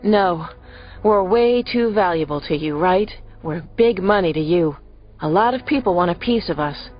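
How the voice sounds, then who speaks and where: A woman's voice: fairly low pitch, expressive, normal speed, close to an everyday voice. A woman voicing a brave, defiant teenage girl in an audiobook, speaking tensely. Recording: lo-fi audio with faint music.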